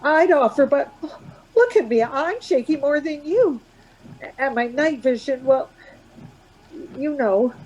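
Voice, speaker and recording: A woman's voice: expressive, slow, long pauses, darkish tone, lo-fi sound. An actress playing an anxious, coaxing woman traveller in a radio play, urgent and reassuring by turns. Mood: shaky, coaxing. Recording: background noise with vehicle rumble.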